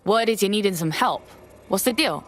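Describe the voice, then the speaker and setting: A woman's voice: mid-range voice, expressive, fairly quick, a little hiss or hum, close to an everyday voice. A woman playing a streetwise young hacker with a London accent in a video game, slangy and blunt. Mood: eager.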